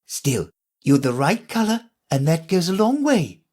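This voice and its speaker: A man doing a prim, sharp-tongued rose. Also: a little high, big pitch swings, a little slow, pitched above the performer's own voice. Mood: severe. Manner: prim, severe, cutting.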